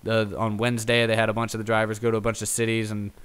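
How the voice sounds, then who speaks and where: A man's voice: on the low side, completely flat delivery, fast talker, fairly bright tone, clear, resonant tone. A young man hosting a casual solo talk show, chatting off the cuff in a rambling, self-deprecating way. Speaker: host of a YouTube racing talk show. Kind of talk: casual unscripted solo chat.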